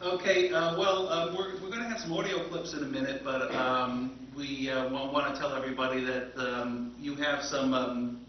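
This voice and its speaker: An American man casually moderating a live event, with a halting, stammering delivery. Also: medium pitch, little pitch movement, distant mic. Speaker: panel moderator. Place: convention presentation hall, indoors. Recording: muffled, noisy, echoey convention hall from afar.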